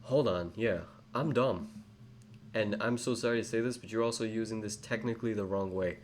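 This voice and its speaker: Man, English accent, low voice, rambling tutorial delivery, slight background noise. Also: moderate pace. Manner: rambling, self-correcting, many fillers. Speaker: trading educator. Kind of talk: live screen-share trading tutorial.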